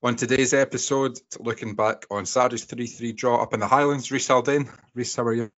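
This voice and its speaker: A man's voice: medium pitch, fairly even intonation, a little fast, fairly bright tone. A man hosting a casual football podcast, putting friendly questions to his panel. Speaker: podcast host. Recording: dull lo-fi podcast audio.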